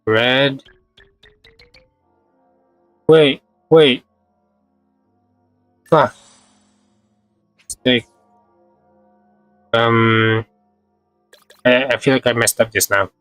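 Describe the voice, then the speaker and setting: A man's voice: medium pitch, fairly even intonation, very slow, pauses often, fairly bright tone, no echo, faint music behind. A man chatting casually on a game livestream, thinking aloud in short, hesitant fragments. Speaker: game streamer. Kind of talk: casual livestream gameplay chat.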